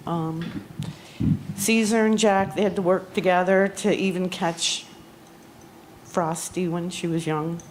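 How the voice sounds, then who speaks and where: A woman giving a memorial tribute at a gathering, speaking haltingly with frequent pauses and ums. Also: on the low side, steady tone, slight background noise, low-quality recording. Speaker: eulogy speaker. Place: outdoor barn gathering.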